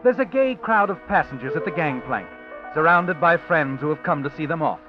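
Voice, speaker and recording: A man's voice: on the high side, expressive, fairly quick, lightly acted. A man narrating a radio drama in an intense, insinuating second-person style, on a muffled old radio recording with music underneath.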